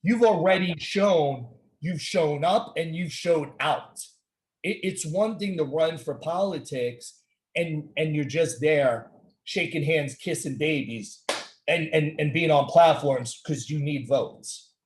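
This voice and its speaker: A man's voice: on the high side, slow pace. Emphatic American man hosting a talk show. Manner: emphatic, repetitive rhetorical questions. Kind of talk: casual conversational interview.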